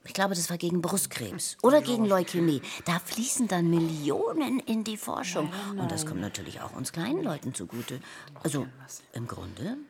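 An actress playing a chatty, naively blunt patient who rambles conversationally in a radio play, with a slightly husky voice. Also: female voice, fairly low pitch, big pitch swings, moderate pace, crowd noise. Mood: chatty, earnest.

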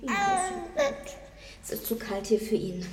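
Small young neighbour, friendly, highish, animated intonation, echoey hall, radio play. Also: female voice, takes it slowly, noisy background. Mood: friendly, excusing. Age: young adult. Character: Ariel, small young woman under twenty, friendly neighbour. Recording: echoey hall with crowd murmur behind.

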